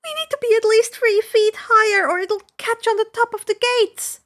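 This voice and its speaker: A woman's voice: extremely high pitch, normal speed, a bit bright, pitched above the performer's own voice. A woman voicing a tense, bossy, breathless young girl adventurer in an audiobook story. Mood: urgent alarm. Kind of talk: acted audiobook dialogue.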